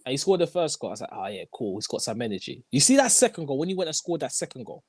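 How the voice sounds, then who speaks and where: A young man hosting a casual football chat, animated and slangy, repeating his lines. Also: medium pitch, very fast, breathy edge, dry recording. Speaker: host.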